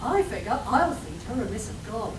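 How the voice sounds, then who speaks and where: A woman playing a curious, breezily scheming adventurer scientist in a live radio play, explaining things briskly and matter-of-factly. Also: big pitch swings. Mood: confident, scheming. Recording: echoey hall, distant mic, noisy rumble.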